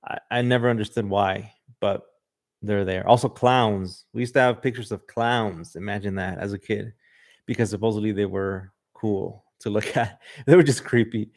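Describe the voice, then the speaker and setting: Male podcast host, Mexican-tinged accent, lowish voice, rambling livestream chat. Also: normal speed, a little mellow. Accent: Mexican Spanish influence. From Southern California. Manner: loose and chatty, many "like" and "um" fillers. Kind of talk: casual livestream chat reading viewer comments. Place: livestream in front of a camera.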